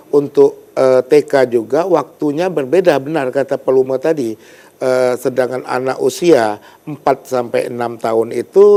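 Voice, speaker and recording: A man with a gravelly, creaky voice and a dark, mellow tone speaks on a television studio set. He nods along with frequent agreement and then explains his points at an even pace. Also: medium pitch. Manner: agreeable, often affirming others, explanatory. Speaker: storyteller guest. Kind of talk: studio talk show explanation.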